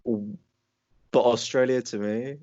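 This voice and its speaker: A man's voice: medium pitch, steady tone, slow, darkish tone. Rambling young Welsh man, dull sound. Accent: mixed English, American and Welsh. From Wales. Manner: rambling, full of ums and restarts. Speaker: podcast co-host. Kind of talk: casual chat.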